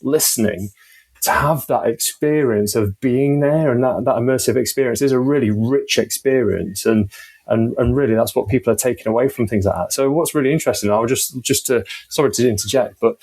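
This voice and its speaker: A man's voice: medium pitch, a little fast, a little mellow, slightly rough. A man chatting casually as a podcast guest, rambling and reflective, often checking for agreement. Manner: rambling, reflective, seeks agreement with tag questions. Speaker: podcast guest with audio engineering background. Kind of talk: casual conversation.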